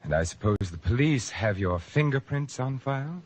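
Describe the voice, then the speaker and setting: A sinister painter with a silky, cultured purr, his voice rising and falling in wide sweeps. He sounds slightly husky, and it comes from an old radio drama broadcast. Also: medium pitch, expressive, normal speed. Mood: cunning. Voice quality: smooth, cultured, purring. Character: suave sinister male painter, cold and scheming. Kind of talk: 1940s radio acting.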